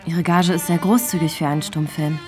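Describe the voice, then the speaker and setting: A woman playing a poised, shrewd film actress in a radio play, polite and measured. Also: sits low, steady tone, over music, lightly acted. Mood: poised, charming. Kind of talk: radio drama dialogue.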